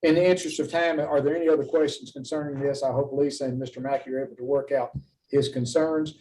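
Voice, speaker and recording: A man's voice: mid-range voice, steady tone, fast talker, mellow. A man chairing a formal public meeting as commission chair, speaking in a courteous, procedural manner. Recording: distant mic, faint background noise.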